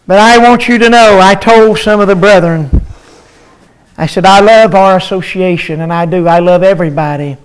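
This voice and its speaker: An older pastor preaching a sermon in a chanted, sing-song style, breaking into drawn-out cries and calling out to his listeners by name, with many halting filler sounds between phrases. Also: high voice, clean tone, poor audio.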